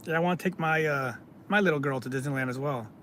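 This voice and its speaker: A man from California, hosting a casual live stream, reading out viewer comments and answering them in a halting way with many fillers. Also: a little high, a bit bright, slight background noise. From California, United States.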